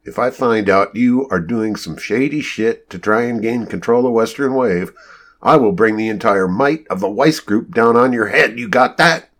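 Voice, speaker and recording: An angry, bewildered businessman as performed by a male narrator in an audiobook, low in register. He talks confrontationally and indignantly, ready to swear and argue. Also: normal speed, fairly bright tone. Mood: angry, accusing. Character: hot-tempered, bewildered company boss, adult man.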